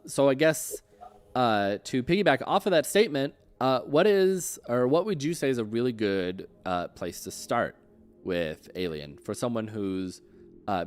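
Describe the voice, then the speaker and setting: A man's voice: lowish voice, clean tone. A man hosting a livestream interview, chatting casually as he puts questions to a guest.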